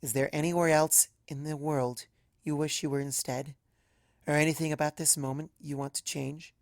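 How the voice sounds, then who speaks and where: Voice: medium pitch, fairly even intonation, normal speed, vocal fry, no echo, studio quality, close to an everyday voice. A narrator voicing a playful, affectionate young female pegasus pony in a story, teasing and reassuring. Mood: tender, reassuring.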